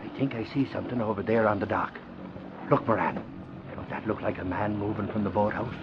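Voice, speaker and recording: An actor playing an excitable, blustering newspaperman with folksy exclamations, in 1940s radio drama style. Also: on the low side, brisk, very noisy, close to an everyday voice. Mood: hushed. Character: excitable, blustering Irish male newspaper bodyguard-reporter. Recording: muffled vintage radio broadcast.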